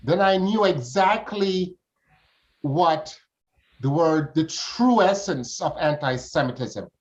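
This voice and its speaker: A man's voice: on the high side, lively intonation, slow. Older man on dull, lo-fi audio. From Jamaica. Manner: formal, flowery phrasing. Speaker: interview guest. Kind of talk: conversational interview answers.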